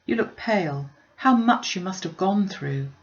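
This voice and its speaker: A woman's voice: medium pitch, lively intonation, unhurried, dull audio. A woman voicing a concerned young woman in a classic novel audiobook, with urgent, caring questioning.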